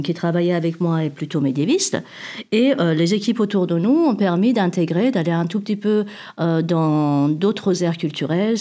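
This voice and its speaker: A woman's voice: medium pitch, dark tone. A woman from Iran, a university researcher answering questions about her project, speaking with many fillers and restarts. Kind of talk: academic interview answer.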